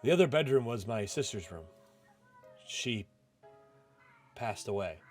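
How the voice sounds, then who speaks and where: A man's voice: medium pitch, expressive, a little slow, darkish tone, lightly acted. A reserved young man from a visual novel, acted out by a male reader. He talks politely and a little hesitantly, keeping things understated. There is music behind him. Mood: guarded.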